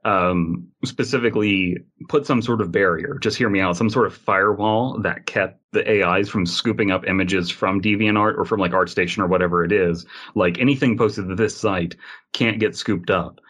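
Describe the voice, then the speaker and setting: A man's voice: sits low, moderate pace, a bit bright. A man hosting a casual panel podcast, inquisitive, summing up and putting questions to the others. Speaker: podcast host.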